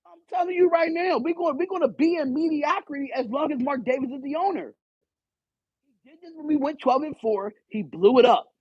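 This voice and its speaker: A man hosting a live stream, loud and animated, swearing and arguing back at his chat. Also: very high voice, a little fast, no echo, muffled recording. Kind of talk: casual live stream rant.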